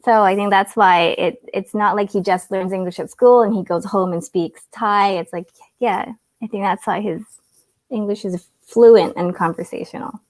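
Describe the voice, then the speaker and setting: A woman's voice: mid-range voice, steady tone, normal speed, a little mellow, no room sound. A woman whose first language is Cantonese, chatting casually as a guest on a live video call, giggly and full of fillers. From Canada.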